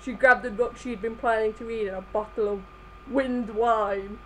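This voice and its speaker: A woman's voice: on the high side, steady tone, moderate pace, fairly bright tone, slight background noise, low-quality recording. A woman reading fan fiction aloud live, stumbling over the text as she goes. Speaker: reader in a group comedy reading.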